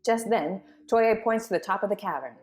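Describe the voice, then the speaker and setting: A woman's voice: medium pitch, a little fast, darkish tone, faint music behind. A woman hosting a live table read and narrating the scripted scenes. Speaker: host and narrator.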